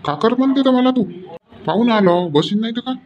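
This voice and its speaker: A man's voice: very high voice, expressive, fairly quick, a little mellow, dull audio, faint music behind. A man voicing a put-upon middle-aged village husband in a cartoon comedy, whining and grumbling in rural Vidarbha Marathi. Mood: grumbling, aggrieved. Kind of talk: homely cartoon comedy dialogue.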